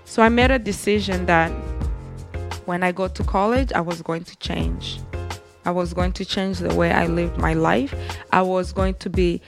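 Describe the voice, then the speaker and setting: A woman's voice: fairly low pitch, fairly even intonation, normal speed, creaky, music in the background. A woman from Rwanda with a Rwandan accent, telling her personal story as an interview guest in an earnest, reflective way.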